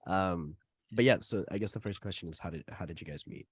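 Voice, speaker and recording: Low-voiced young man hosting a podcast. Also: slightly rough, no room sound. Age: young adult. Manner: hesitant, many ums, laughs easily. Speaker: host. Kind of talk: casual podcast chat.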